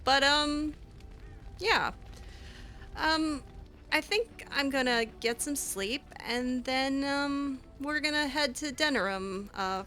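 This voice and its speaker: A woman roleplaying aloud as she plays a fantasy game. Her voice is bright and clear-edged, and she talks slowly and hesitantly, often stammering as she improvises her words. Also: high voice. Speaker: roleplay gamer.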